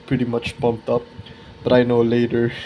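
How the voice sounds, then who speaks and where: A twenty-year-old man talking casually and reflectively to his viewers in a vlog, halting and correcting himself as he searches for words. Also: medium pitch, completely flat delivery, highs cut off, slight background noise. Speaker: art video creator.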